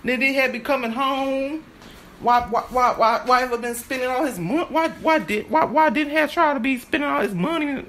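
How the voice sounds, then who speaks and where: Very high-pitched woman, halting and repetitive. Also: fairly bright tone. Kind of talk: improvised monologue from a stage play.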